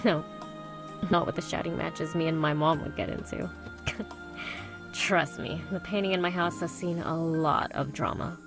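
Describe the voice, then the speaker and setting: Guarded teenage girl, sardonic, blunt, kind underneath, fry-edged voice, visual novel game. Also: low-pitched, fairly even intonation, unhurried, music in the background. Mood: wry, casual.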